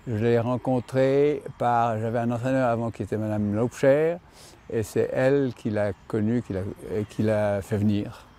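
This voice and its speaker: An older man who owns and breeds horses, answering interview questions with many pauses and restarts. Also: medium pitch, steady tone, mellow. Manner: hesitant, many fillers and restarts. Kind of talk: interview reply.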